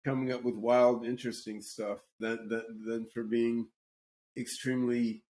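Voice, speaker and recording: A man hosting a casual podcast chat at a middle pitch. He speaks haltingly, stumbling over repeated words and restarting his sentences as he introduces the talk. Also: little pitch movement, a little mellow. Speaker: podcast host.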